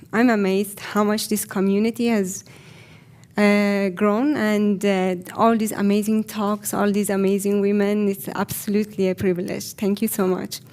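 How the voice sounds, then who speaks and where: A woman with an Iranian accent giving a personal conference talk in a reflective, hesitant way with frequent pauses. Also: medium pitch, a little mellow. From Iran. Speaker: conference speaker.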